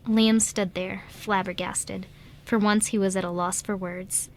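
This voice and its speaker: A woman's voice: mid-range voice, fairly even intonation, unhurried. Woman narrating over faint background noise. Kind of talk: scripted narration of an amateur radio drama. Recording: light background noise and ambience.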